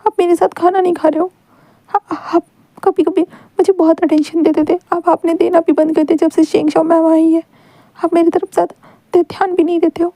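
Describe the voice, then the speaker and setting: A woman's voice: very high voice, level tone, dark tone, no room sound, pitched above the performer's own voice. A woman voicing a jealous, heartbroken young man who pleads through tears and stammers. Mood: hurt pleading. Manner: crying, pleading, halting with stammers.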